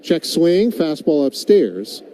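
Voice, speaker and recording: A man does live radio baseball play-by-play in a very dark, relaxed voice pitched a little high. He calls the game as commentator, with clear ballpark noise behind him. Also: slow pace, low-quality recording.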